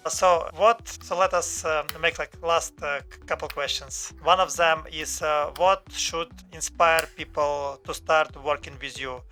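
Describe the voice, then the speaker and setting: A man hosting a podcast, asking questions haltingly with lots of fillers. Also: a little high, fairly even intonation, pushed and bright, soft background music. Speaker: podcast host. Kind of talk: interviewing a guest.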